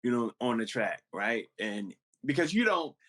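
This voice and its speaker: Give me a relaxed man talking casually about rapping, mid-range voice. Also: mid-range voice, normal speed, husky. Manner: relaxed, explains with many "you know what I'm saying". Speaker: rapper guest. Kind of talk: casual interview talk.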